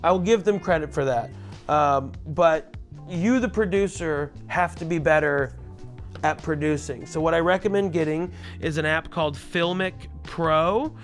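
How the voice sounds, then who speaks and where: A loud, chatty man giving a casual product tutorial, speaking into a lavalier mic clipped under his shirt. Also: a little high, a little slow, faint music behind. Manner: loud, chatty, self-deprecating asides. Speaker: gear reviewer.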